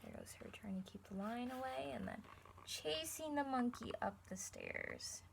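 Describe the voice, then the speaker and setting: A woman teacher reading a picture book to children, encouraging them and pausing to explain things simply and ask questions. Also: fairly high pitch, huge pitch swings, gravelly, slight background noise. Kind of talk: read-aloud lesson with questions to young listeners.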